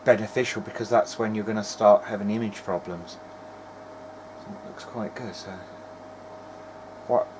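A man giving casual running commentary as he tests electronics, muttering to himself in short, halting remarks. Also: on the low side, steady tone. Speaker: hobbyist presenter of a video diary. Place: small cluttered indoor electronics workbench. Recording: clear background noise in workshop.

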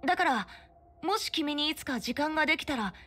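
High, earnest boyish youth. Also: female voice, lively intonation, a little fast, a bit bright. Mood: earnest, apologetic. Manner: humble, sincere, hesitant. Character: earnest, apologetic boyish youth, polite and humble. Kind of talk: anime-style video game acting. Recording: faint music under the voice.

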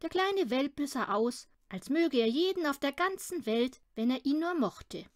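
Female audiobook reader, high animated voice, dry close sound, children's storybook narration. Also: unhurried, no echo.

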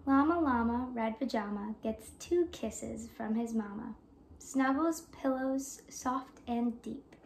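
High-voiced young woman reading to children. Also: takes it slowly, a little hiss or hum. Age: young adult. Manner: addresses young listeners with questions. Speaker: children's read-aloud host, education student. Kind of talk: expressive picture-book read-aloud and casual chat to children. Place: small living room at home, on a couch.

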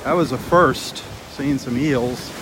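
A man's voice: mid-range voice, slow. Man narrating a walk on a windy beach. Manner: dismayed, earnest narration while walking. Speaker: beach walk video host. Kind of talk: live walking vlog commentary. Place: outdoors on a windy beach by the surf. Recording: wind and surf noise on the mic. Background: crashing waves and wind.

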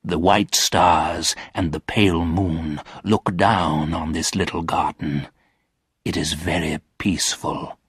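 Storybook ghost, acted by a man: centuries old, lonely and solemn, very husky, speaking slowly. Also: low voice, fairly even intonation, dry recording, studio quality, voice pushed down, heavily acted. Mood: wistful, hushed. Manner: slow, weary, solemn delivery.

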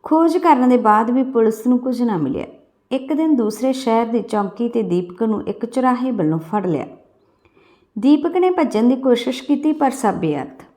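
High-voiced woman narrating a story. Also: animated, normal speed, warm tone.

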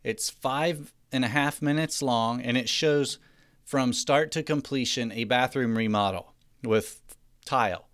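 Male presenter for screencast tutorial, smooth clean voice, mid pitch, plain instructional delivery. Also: unhurried, a bit bright. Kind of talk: explanatory screencast narration. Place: indoors, voice-over to screen recording.

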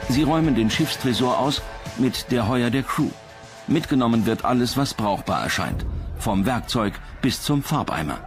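A man's voice: mid-range voice, lively intonation, moderate pace, music in the background. A man reading scripted narration for a documentary.

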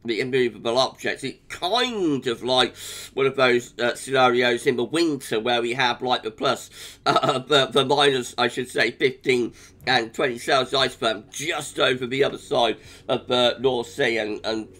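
A man with a British accent, croaky today, giving a chatty weather forecast over charts with frequent little laughs. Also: medium pitch, normal speed, fairly bright tone. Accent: British English. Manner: chatty, laughs often, restarts.

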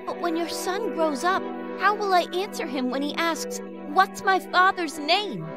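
A woman's voice: very high voice, measured pace. A woman voicing an earnest young princess in a fairy tale cartoon, anxious and melodramatic. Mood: troubled, insistent. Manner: melodramatic, pleading. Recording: music behind the voice. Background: music.

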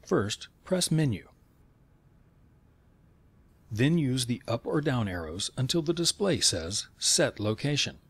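A man reading scripted instructional narration in a measured, step-by-step way. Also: mid-range voice, big pitch swings, breathy edge, no room sound.

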